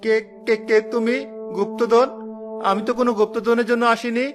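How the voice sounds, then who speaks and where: A man voicing a gentle, wronged young orphan nephew who speaks earnestly, in a cartoon. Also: extremely high pitch, little pitch movement, a little fast, over music. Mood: despairing. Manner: earnest, hurt. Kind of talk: cartoon voice acting.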